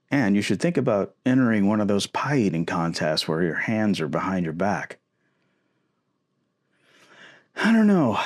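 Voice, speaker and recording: A man's voice: lowish voice, a little mellow. A man acting a playful, goofy, affectionate shrunken boyfriend in an ASMR roleplay, casual and jokey with theatrical exclamations.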